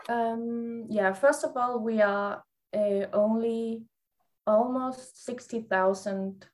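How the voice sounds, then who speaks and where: A woman from Greenland with a Greenlandic accent, a native Greenlandic speaker, answering interview questions softly and thoughtfully, with pauses and fillers. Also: a little high, fairly even intonation. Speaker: guest psychologist.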